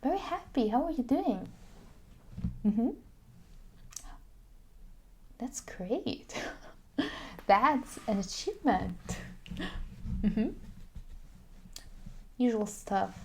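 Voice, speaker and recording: A woman doing a soft-spoken ASMR roleplay as a video game shop clerk, slipping into whispers, with a hesitant, halting delivery. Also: fairly high pitch, animated, a little hiss or hum.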